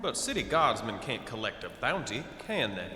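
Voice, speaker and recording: Man playing a sharp, sardonic fantasy adventurer. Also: very animated, normal speed. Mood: dry, sardonic. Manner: formal, sardonic. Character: articulate, persuasive, sardonic male fantasy adventurer. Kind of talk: audio drama acting. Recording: reverberant space, faint rumbling noise.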